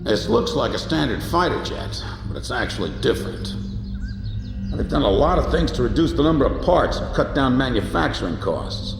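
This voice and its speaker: Wry older military veteran, lively pitch. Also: male voice, gravelly. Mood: appraising, matter-of-fact. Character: seasoned older air force veteran, wry and knowing. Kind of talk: English dub acting in a military game cutscene. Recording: long hall reverb, music behind.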